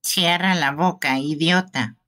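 A man's voice: fairly high pitch, slow, pushed and bright, pitched above the performer's own voice. A man voicing a scornful, possessive and menacing boy in an amateur video game dub. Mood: scornful, taunting. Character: taunting, possessive boy obsessed with coffins.

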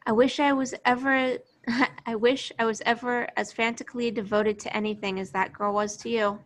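A woman's voice: on the high side, steady tone, moderate pace, close to an everyday voice. A woman reading the role of a guarded, weary young love interest at an amateur table read, understated and wry. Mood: regretful. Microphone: video call.

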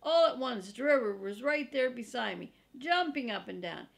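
A woman in her sixties reading a children's chapter book aloud in a folksy, deliberate way, as first-person narration. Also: a little high, lively intonation, vocal fry. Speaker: storybook reader for children. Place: small furnished room indoors, seated in an armchair.

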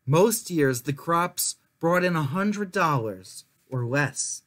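Young guy reading a kids book out loud, takes it slow and measured. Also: a little high, animated. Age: young adult. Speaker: storyteller reading a children's book aloud. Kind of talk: scripted narration, story time read-aloud.

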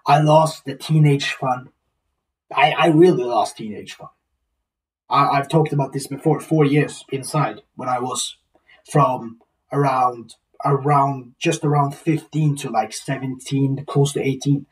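A man's voice: medium pitch, steady tone, measured pace. Man around twenty, mid-range voice, musing and repetitive, recorded slightly distant in a small room. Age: 20s. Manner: rambling, repeats himself, swears. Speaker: vlogger. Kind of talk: reflective casual monologue. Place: small plain indoor room.

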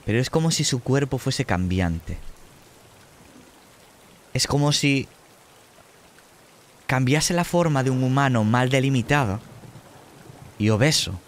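A man acting as game master, narrating a horror scene in a deliberate, descriptive way with pauses. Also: mid-range voice, slight background noise. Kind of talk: improvised tabletop horror narration.

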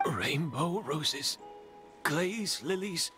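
An actor playing a despairing grey-haired old male perfumer who speaks haltingly and in disbelief. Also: on the high side, expressive, music in the background. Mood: bitter, incredulous.

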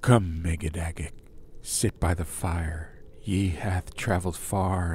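A solemn, ancient monk, put on by a male storyteller, speaking slowly in a very deep, hushed, half-whispered chant. Noise hums steadily behind the voice. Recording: steady ambient noise bed.

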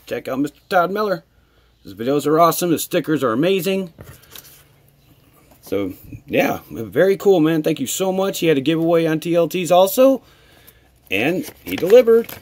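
An American man, a hobby vlogger with a husky voice, chatting casually and appreciatively to viewers as he shows things on camera. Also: fairly high pitch, darkish tone. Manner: enthusiastic, appreciative. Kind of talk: casual chat to camera while opening mail.

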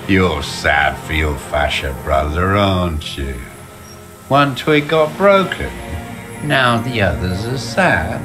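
Very deep-voiced, bitter old deserter. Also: male voice, expressive, slow, music in the background. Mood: mocking. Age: older. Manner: scornful, ranting, spitting insults. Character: bitter, sickly old communist deserter, contemptuous zealot. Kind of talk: dramatic video game voice acting.

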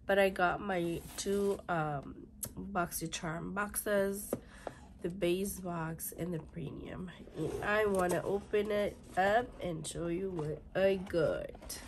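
A woman in her thirties, hoarse and raspy from a cold, chatting through a beauty unboxing and reading product labels aloud in a halting way, in a small room. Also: lowish voice, steady tone, a little hiss or hum. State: hoarse, congested from illness. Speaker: beauty vlogger. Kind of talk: casual unboxing chat. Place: small bedroom with fluffy chair, indoors.

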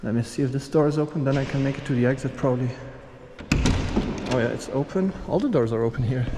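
A man's voice: mid-range voice, fast, very noisy. A man in his thirties from Belgium, chatting casually as a travel vlogger while walking around, laughing often. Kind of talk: casual vlog narration. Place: outdoor stadium and its corridors. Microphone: action camera held at arm's length.

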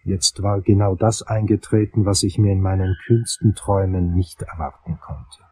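An actor playing a brooding, infatuated investigator, narrating a crime radio play in a measured, intense manner. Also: male voice, low-pitched, fairly even intonation, mellow, slightly rough. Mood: fascinated.

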